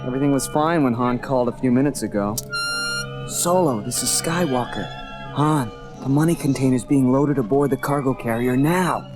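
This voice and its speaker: A man's voice: mid-range voice, normal speed, natural voice. A man playing an earnest, brave young space rebel in an audio drama, speaking directly. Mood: tense. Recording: loud music behind the voice.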